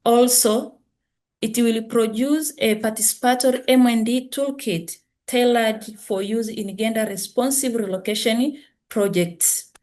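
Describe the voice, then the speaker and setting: A woman presenting a project over a video call, halting and correcting herself as she goes. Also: a little high, steady tone, darkish tone.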